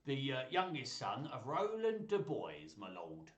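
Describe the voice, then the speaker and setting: A man's voice: medium pitch, wildly swooping pitch, unhurried. A man voicing a lonely, gallant young wrestler in a storybook reading, earnest and sorrowful, with a Cockney accent. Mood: sad, earnest. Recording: roomy echo, distant microphone.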